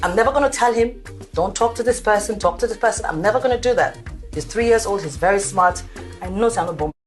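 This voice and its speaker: A woman's voice: mid-range voice, quick pace, faint music behind. A Nigerian woman giving an emotional personal account as a mother, mixing pidgin into her English. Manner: earnest, mixes pidgin into English. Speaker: mother telling her story.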